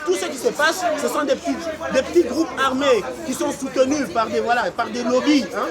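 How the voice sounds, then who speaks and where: A man's voice: very high-pitched, fairly quick. A Congolese man living abroad, speaking insistently in a heated street interview and repeating his points with emphasis. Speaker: interviewee at a protest. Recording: loud crowd behind.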